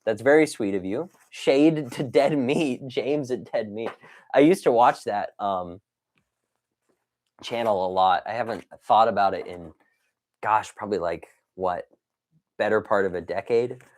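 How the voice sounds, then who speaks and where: A man's voice: lowish voice, unhurried, a little mellow. A man hosting a casual livestream, chatting playfully with viewers, reading messages aloud and wandering into exclamations and asides. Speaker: livestream host of a toy dinosaur collecting channel.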